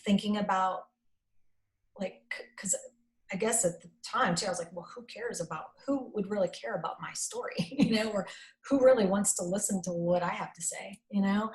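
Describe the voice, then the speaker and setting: A middle-aged woman, an executive telling stories in an interview, animated and full of fillers. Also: mid-range voice, normal speed, low-quality recording. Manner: animated, acts out quoted dialogue, many fillers; self-described strong voice, not shy. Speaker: interview guest, company executive. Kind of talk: casual conversational storytelling.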